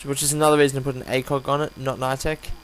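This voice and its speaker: A man with a croaky, tired voice talks through casual gameplay commentary. His pitch is middling and his delivery wanders, with hesitations and side remarks. A faint hum sits behind the home recording. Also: little pitch movement, very fast. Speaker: gaming video host reviewing a weapon. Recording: home recording with audible hum, background noise.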